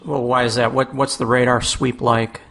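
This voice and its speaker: Creaky-voiced man hosting an interview. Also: mid-range voice, level tone, normal speed, fairly bright tone. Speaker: podcast host. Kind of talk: conversational interview on flying.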